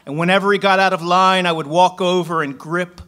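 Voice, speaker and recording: A man's voice: sits high, steady tone, a little fast, sharp tone. A man in his forties from Philadelphia, a poet reading his work aloud from paper at a stand mic on a small club stage, then telling a story.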